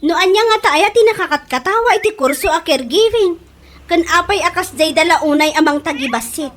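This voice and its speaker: A woman's voice: very high voice, animated, fairly quick, a bit bright, slight background noise. A woman with an Ilocano Filipino accent voicing a defiant, spirited young daughter in a comedy radio drama. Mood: indignant. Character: feisty, defiant young daughter studying caregiving.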